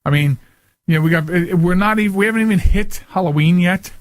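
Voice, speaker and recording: Casual male talk host, higher-pitched, dry sound. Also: a little fast, no echo. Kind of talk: casual radio talk, rambling. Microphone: close studio microphone.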